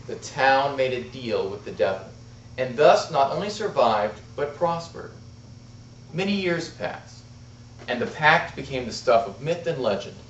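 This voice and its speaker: A man performs a tall tale like a contest storyteller, with dramatic pauses and big swings in pitch. His delivery is deliberate and theatrical. The recording is muffled and picked up from a distance in an echoing room. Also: slight background noise. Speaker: amateur storyteller in a speech contest.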